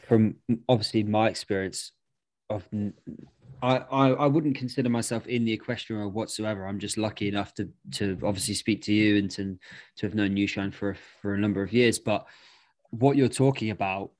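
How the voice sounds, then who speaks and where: A man's voice: on the low side, fairly even intonation, moderate pace, darkish tone, no echo. A man talking casually as a podcast guest, thoughtful and rambling, with frequent restarts. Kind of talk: casual discussion.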